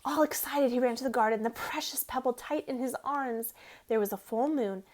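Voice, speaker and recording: A woman reading a children's storybook aloud as an expressive narrator. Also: high-pitched, normal speed. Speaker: storybook reader.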